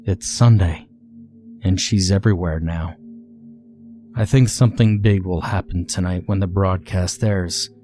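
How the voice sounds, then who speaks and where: A man reading a scripted first-person horror story in a measured, dramatic way with deliberate phrasing. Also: low voice, steady tone, dark tone, faint music behind.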